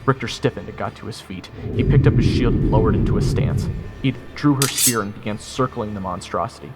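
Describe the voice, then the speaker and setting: A man's voice: lowish voice, fairly quick, loud music behind the voice, lightly acted. A man playing a wry soldier who narrates his own fantasy story in a matter-of-fact way. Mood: tense. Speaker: narrator of audio roleplay. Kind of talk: first-person scripted narration.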